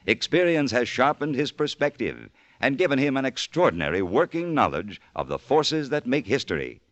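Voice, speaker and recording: 1950s American radio announcer, male, formal and polished, animated delivery, dry close studio sound. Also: medium pitch, normal speed, no room sound. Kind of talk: scripted 1950s radio promo. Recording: dull vintage radio recording, dry.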